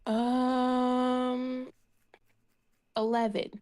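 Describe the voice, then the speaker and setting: Woman, tabletop player, high voice, unhurried pace, casual chat. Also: flat delivery, darkish tone, clean tone, no echo, studio quality.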